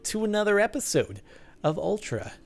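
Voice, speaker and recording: Young male let's play host, slightly high, gravelly, rambling and upbeat at a desk mic. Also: big pitch swings, normal speed. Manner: rambling, upbeat, self-deprecating. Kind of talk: casual gameplay commentary.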